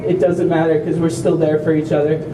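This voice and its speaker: A young man giving an earnest, heartfelt speech at a community walk, slightly halting as he speaks. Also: fairly high pitch, level tone. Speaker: family member speaker at an awareness walk. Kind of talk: prepared speech to a crowd. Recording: echoey, distant, noisy event recording.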